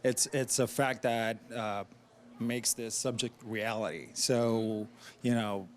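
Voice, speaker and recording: A man from Greece with a Greek accent, an actor talking earnestly in a casual interview, pausing often on uh. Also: mid-range voice, fairly even intonation, slight background noise. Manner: earnest, measured, with frequent uh pauses.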